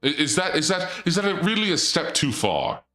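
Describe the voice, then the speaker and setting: A young man streaming and chatting casually, rambling and joking in a theatrical dark-lord persona. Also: on the high side, sing-song, a little fast, faint music behind.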